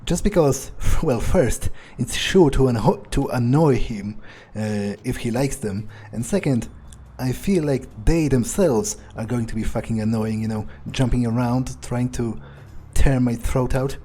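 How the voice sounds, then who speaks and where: A man roleplaying a ruthless, calculating vampire in a game, musing aloud in halting, profane sentences. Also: mid-range voice, warm tone, husky. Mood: wary, scornful. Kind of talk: in-character improvised game playthrough monologue.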